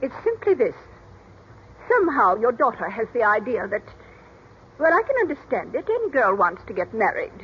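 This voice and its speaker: Haughty, husky society mother. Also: on the high side, very animated, normal speed, heavily acted. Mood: icy, curt. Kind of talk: 1940s radio drama acting. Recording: dull 1940s radio recording.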